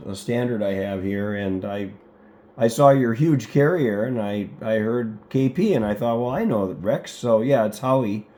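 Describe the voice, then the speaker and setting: Mellow, low-voiced American man, roomy sound. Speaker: amateur radio operator. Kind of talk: casual ham radio conversation.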